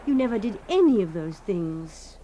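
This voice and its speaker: A woman's voice: medium pitch, sing-song, moderate pace, warm tone, close to an everyday voice. An actress playing a practical, long-suffering wife who placates her dramatic husband with strained patience in comic radio play style. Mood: exasperated. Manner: patient but exasperated, placating. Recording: noisy radio drama recording.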